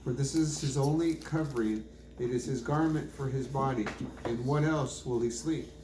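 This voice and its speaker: A man reading scripture aloud in a plain, measured way, now and then stumbling over a phrase. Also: mid-range voice. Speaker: scripture reader. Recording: roomy echo, faint background noise.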